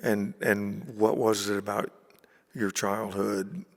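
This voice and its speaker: A man's voice: lowish voice, little pitch movement, slow pace, gravelly, in a large hall. A man hosting a casual interview, asking curious, rambling questions. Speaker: interviewer, show host.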